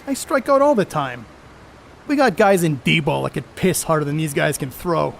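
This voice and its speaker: A man's voice: fairly high pitch, expressive, normal speed, vocal fry, a bit bright, slight background noise. A man playing a brash, crude, boastful middle-aged 1930s baseball star on stage, cocky and slangy. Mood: defensive bluster. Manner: cocky, slangy, coarse-mouthed. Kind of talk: stage play acting, 1930s American banter.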